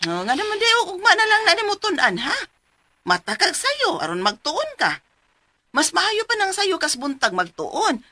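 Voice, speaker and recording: Bright, animated scolding mother. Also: extremely high pitch, normal speed, exaggerated voice. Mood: coaxing. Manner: nagging, exclamatory. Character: concerned, scolding mother of a college student. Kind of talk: radio drama acting.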